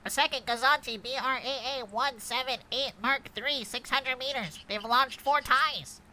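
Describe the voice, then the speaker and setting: A woman's voice: sits high, normal speed, sharp tone, slight background noise. A woman reading the part of a procedural starship crew member calling out alerts in a sci-fi script. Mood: urgent, businesslike. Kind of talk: script table read.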